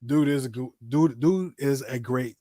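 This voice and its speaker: A man's voice: medium pitch, measured pace, mellow, no room sound. Man in his forties, close dry sound. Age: 40s. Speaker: host. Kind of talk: casual wrestling talk show chat.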